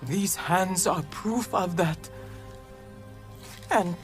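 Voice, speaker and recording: An actress playing a reclusive, bitter female healer who speaks very slowly and broodingly. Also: lowish voice, faint music behind. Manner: very slow, brooding. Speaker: clan spiritual leader.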